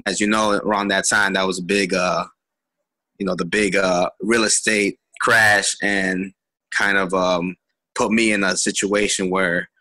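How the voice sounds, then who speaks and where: A man in his twenties from Chicago, telling his story as an interview guest, halting with many ums and restarts. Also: deep voice, sharp tone, no echo, rough recording. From Chicago, USA.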